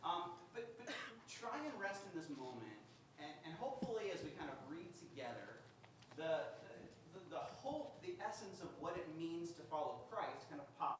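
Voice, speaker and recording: Halting man in a very echoey room. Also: a little high, very animated. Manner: halting, loose, conversational phrasing. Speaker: church leader introducing a reading. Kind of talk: informal spoken welcome to congregation. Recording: very echoey room, distant mic, noisy.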